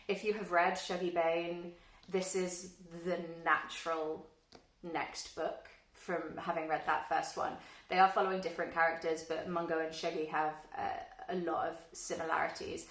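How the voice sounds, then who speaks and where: Girl rambling about books, lots of ums, kinda low voice, roomy echo. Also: level tone, moderate pace, fairly bright tone. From London, England. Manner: self-deprecating, wry, many fillers. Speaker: book vlogger. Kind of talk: casual self-introduction to camera. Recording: echoey room, a little far from the mic.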